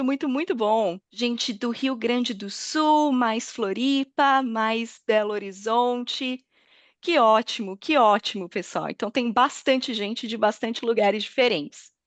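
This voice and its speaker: A woman's voice: on the high side, a bit bright, dry recording. A woman with a Brazilian Portuguese accent hosting an online webinar in an informal, welcoming, chatty way. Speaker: webinar host, senior marketing manager. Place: online video call.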